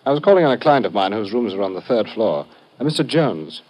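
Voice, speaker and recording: A man's voice: on the low side, big pitch swings, fast talker, muffled recording. An actor playing a polite, matter-of-fact insurance salesman in a 1950s radio drama. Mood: cooperative. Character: polite male insurance salesman questioned by police.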